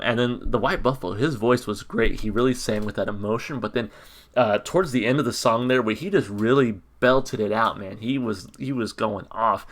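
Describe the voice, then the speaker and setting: A man reviewing a song on his channel in a casual, monotone way, full of ums and restarts. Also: lowish voice. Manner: hesitant, many ums and restarts. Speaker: music reaction YouTuber. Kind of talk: casual reaction commentary.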